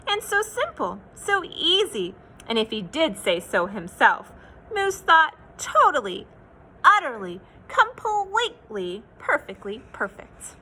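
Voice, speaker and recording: A woman reading a children's picture book aloud in an expressive, playful, sing-song way, with asides to young listeners. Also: high voice, slow pace, poor audio. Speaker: children's read-aloud storyteller.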